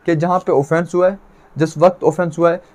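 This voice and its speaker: A man's voice: fairly high pitch, fairly even intonation, a little fast, darkish tone. A man with a Pakistani accent lecturing on law in a didactic way, repeating his points and posing questions that he answers himself. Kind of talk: explanatory exam lecture.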